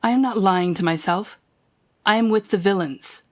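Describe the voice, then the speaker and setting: A woman's voice: mid-range voice, normal speed, close to an everyday voice. A woman playing a cold, commanding telepathic villain who taunts a captive hero in an intimate audio roleplay. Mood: bitter, menacing. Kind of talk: intimate second-person audio roleplay acting.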